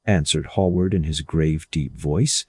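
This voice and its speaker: A man's voice: rumbling low, a little slow, a little mellow, husky, no room sound, clean studio sound. A man reading scripted literary narration as an audiobook narrator.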